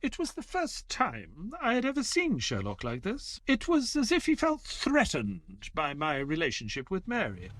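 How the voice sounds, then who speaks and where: A man's voice: high voice, wildly swooping pitch, moderate pace, hardly any pauses, a bit bright, exaggerated voice. An actor playing a reserved former army man who is companion to a detective and narrator of an audio play. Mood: reflective.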